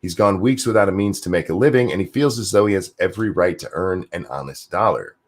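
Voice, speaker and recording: A man hosting a podcast, chatting casually and reading news articles aloud with a jokey, animated delivery. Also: deep voice, quick pace. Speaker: podcast host.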